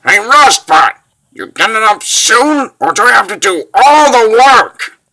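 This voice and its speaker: A man playing a wry, grumbling wizard in a fantasy comic dub, folksy, animated and full of wisecracks. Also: mid-range voice, normal speed, heavily acted, rough recording. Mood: taunting, excited.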